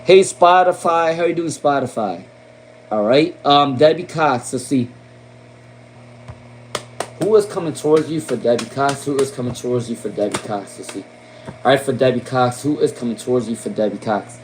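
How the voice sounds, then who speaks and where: A man's voice: medium pitch, moderate pace, slight background noise, low-quality recording. A man with a Long Island accent giving a casual live-stream tarot reading. He repeats names and questions often, says "um" a lot, and ends his points with "okay?" and "all right?". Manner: casual, chatty, lots of "um". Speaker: tarot reader.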